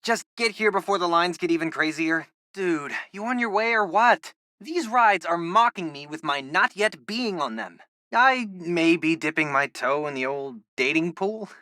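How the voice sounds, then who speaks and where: A geeky teenage boy, the loyal sidekick of a video game hero. He chats casually with wry humor and a lively, swinging intonation. His voice is bright and mid-pitched, recorded close and dry. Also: moderate pace, no room sound. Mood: teasing. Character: geeky, upbeat teenage boy, loyal wisecracking best friend. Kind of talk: video game companion dialogue.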